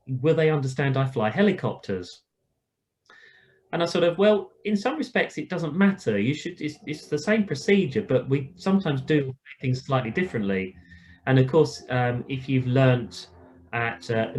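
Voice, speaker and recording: A man's voice: mid-range voice, a little hiss or hum. Informal, reassuring male instructor. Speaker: flight instructor leading a ground school. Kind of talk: informal online lesson. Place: online video call.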